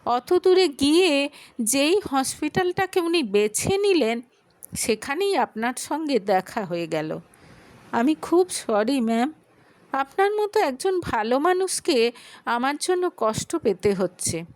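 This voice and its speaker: Tearful young female student, read by a woman narrator, pleading and emotional, slow. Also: sits high, big pitch swings, dry recording, pitched above the performer's own voice, exaggerated voice. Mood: tearful apology.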